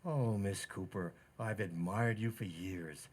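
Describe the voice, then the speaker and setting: Slow-talking, amorous butcher, radio comedy played by a man. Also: low-pitched, breathy edge, exaggerated voice. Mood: flirtatious, smitten. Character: amorous, cheesy butcher wooing a married woman. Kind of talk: old-time radio sitcom acting in community theater.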